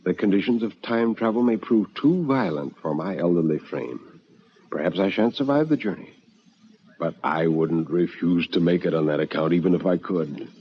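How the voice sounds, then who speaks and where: An actor playing an elderly, anxious but philosophical man, narrating in a deliberate, literary manner for radio drama. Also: low-pitched, expressive, a little hiss or hum. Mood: reflective, resigned. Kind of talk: old-time radio dramatic reading. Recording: muffled vintage radio recording with music.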